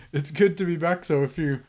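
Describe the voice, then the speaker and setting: A man's voice: fairly high pitch, normal speed, a little mellow. A man hosting a calligraphy live stream, chatting casually and greeting viewers by name, with a slightly congested voice from a cold. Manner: friendly, greets viewers by name, rambling with fillers.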